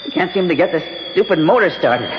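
A man's voice: a little high, lively intonation, natural voice. An actor playing an anxious, earnest father of a young boy in a 1970s radio drama. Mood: anxious, pleading. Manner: earnest, halting when flustered. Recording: muffled, hissy old radio recording.

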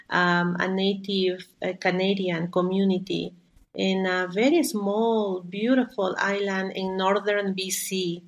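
Creaky-voiced woman with a Peruvian Spanish accent. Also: mid-range voice. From Peru. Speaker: podcast guest, spiritual guide. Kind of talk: conversational interview storytelling.

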